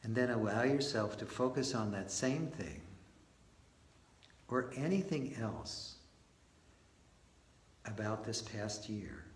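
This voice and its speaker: A man with a fairly low voice leads a guided meditation in a roomy, echoing space. He speaks very slowly, offering short, gentle invitations as questions and leaving long pauses between them. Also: expressive. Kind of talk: guided meditation led live, slow and spoken in short phrases. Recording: roomy echo with faint background noise.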